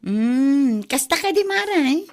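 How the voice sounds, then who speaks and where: A woman's voice: high-pitched, very animated, slow pace, exaggerated voice. A woman acting a friendly, chatty village neighbour in a comedy drama.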